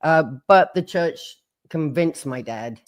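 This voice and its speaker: A man's voice: a little high, animated, slow, a little hiss or hum. A man in his sixties giving plain, frank personal testimony about his childhood. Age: 60s. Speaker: former member telling his story.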